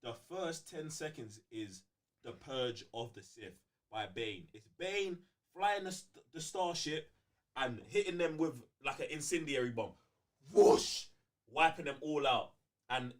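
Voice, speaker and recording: A man with a London British accent in casual fan debate on a livestream, slangy and excitable, repeating phrases for emphasis. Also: medium pitch, measured pace. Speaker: co-host of a film fan livestream.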